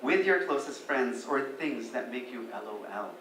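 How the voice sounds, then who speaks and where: A man's voice: mid-range voice, animated, moderate pace, fairly bright tone, echoey room, low-quality recording. A man serving as interviewer, asking pageant contestants questions in a friendly way, with playful asides.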